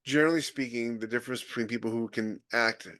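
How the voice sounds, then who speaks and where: A man's voice: mid-range voice, quick pace, a bit bright, no room sound. Emphatic male co-host, mid-range voice, dry recording. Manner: opinionated and emphatic. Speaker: podcast co-host. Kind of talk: casual panel discussion.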